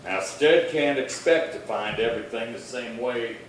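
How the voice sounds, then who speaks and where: Radio western lawman male actor: laconic, steady, unhurried authority, distant and heavily reverberant with faint noise. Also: mid-range voice, lightly acted. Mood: calm, firm. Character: steady, watchful male US Marshal of the Old West. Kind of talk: old-time western radio acting. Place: little theatre stage, distant mic. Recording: very echoey hall, far from mic, faint noise.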